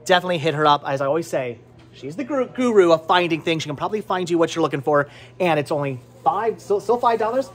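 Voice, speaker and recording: A man in his thirties hosting a casual, chatty vlog in an excitable way, often repeating his words, recorded on a handheld camera in a shop. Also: fairly high pitch, quick pace. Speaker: vlog host. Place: indoor cluttered video and game shop. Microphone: handheld camera at arm's length.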